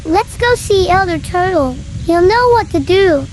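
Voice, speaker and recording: An excitable little lion cub boy from a kids' jungle tale , acted by a woman. The voice is tiny and very high, full of childlike boldness, over faint noise. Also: a little slow. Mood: proud, determined. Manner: bold, childlike eagerness. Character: eager, excitable young male lion cub. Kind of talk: children's animal storybook.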